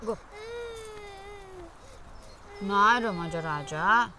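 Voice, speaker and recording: A woman's voice: medium pitch, drawn-out words, sharp tone. An actress playing a kind village mother who soothes a crying boy, speaking in a coaxing, motherly way. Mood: soothing.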